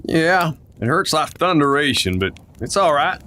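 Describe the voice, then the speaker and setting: A man's voice: fairly high pitch, very animated, normal speed, bright, forceful tone. A man playing a friendly, loyal Old West sheriff in a radio drama, with a folksy drawl full of frontier slang. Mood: gruff, game. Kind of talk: old-time western radio play acting.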